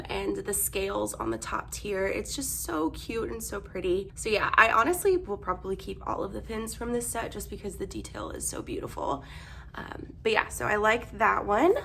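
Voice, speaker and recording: A young woman vlogger chatting casually through an unboxing, bubbly and gushing, her voice hoarse as she loses it. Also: medium pitch, a bit bright, slight background noise.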